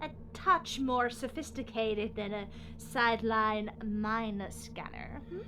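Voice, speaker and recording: Gloating sci-fi villainess, high slow voice, mocking and melodramatic, audio drama with noisy ambience behind. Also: female voice, sharp tone. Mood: smug, cold. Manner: mocking, melodramatic, drawn-out taunting delivery.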